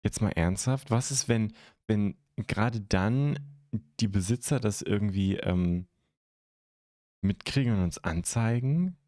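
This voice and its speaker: A young man from Germany hosting a live stream, chatting casually and haltingly as he answers viewer comments into a close microphone. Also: fairly low pitch, warm tone, no room sound. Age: young adult. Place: indoor streaming room at home. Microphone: close microphone on a stand.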